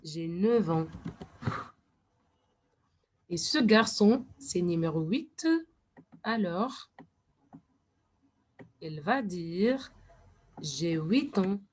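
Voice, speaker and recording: A woman teaching French to young learners, speaking slowly and deliberately and sometimes switching to English to explain. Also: lowish voice, lively intonation, darkish tone, a little hiss or hum. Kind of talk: classroom lesson.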